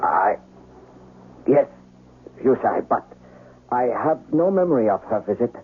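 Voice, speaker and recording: A man's voice: medium pitch, big pitch swings, unhurried, slightly rough. A man playing a proud, devoted young samurai master in a radio drama, formal and earnest. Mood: shaken. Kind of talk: old-time radio drama acting. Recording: muffled radio recording with faint noise.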